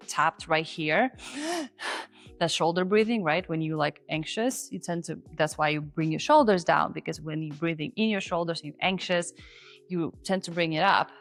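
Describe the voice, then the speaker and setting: Chatty woman coach, English not her first language. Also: fairly low pitch. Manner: chatty and animated, often acts out example voices. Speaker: confidence coach and host. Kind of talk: casual on-camera teaching.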